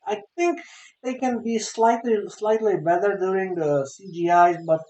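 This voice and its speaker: A man, an English speaker, giving a casual, unscripted game review in a halting, repetitive way as he searches for words. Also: sits high, slight background noise. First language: a language other than English. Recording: roomy echo, music playing behind. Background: soft guitar game music.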